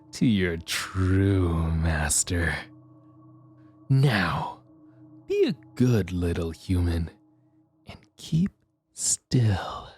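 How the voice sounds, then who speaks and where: A man voicing a menacing ghoul servant who craves blood, crooning and savoring each word in a husky voice, for an ASMR roleplay audio drama. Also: deep voice, a little mellow, no room sound, heavily acted. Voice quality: husky, drawn-out hiss.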